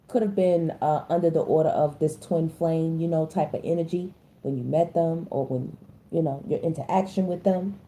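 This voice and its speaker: A hoarse woman giving a casual tarot reading, chatting to her viewers and often stopping to clear her throat. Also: low voice, mellow, low-quality recording. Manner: chatty, addresses listeners as y'all, stops to clear her throat. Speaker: tarot reader.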